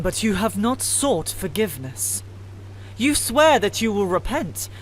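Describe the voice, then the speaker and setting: A woman's voice: medium pitch, slight background noise, pitched above the performer's own voice, poor audio. A woman voicing a stern, righteous male god who delivers a solemn, declamatory rebuke in a fantasy game story.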